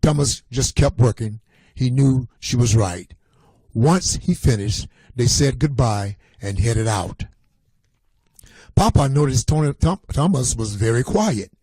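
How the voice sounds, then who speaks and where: A man reads a storybook aloud in a mellow, relaxed voice with a dry, close sound. He is deliberate and unhurried, and now and then trips slightly on a word. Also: medium pitch, breathy edge, dry recording. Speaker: read-aloud reader. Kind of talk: scripted storybook reading aloud.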